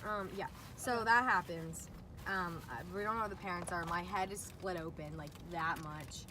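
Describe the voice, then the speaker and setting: A young woman telling personal stories in a casual, animated, giggly way while eating inside a parked car. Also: fairly low pitch, moderate pace. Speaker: guest vlogger. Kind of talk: casual chat and personal storytelling. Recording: distant mic with vehicle noise.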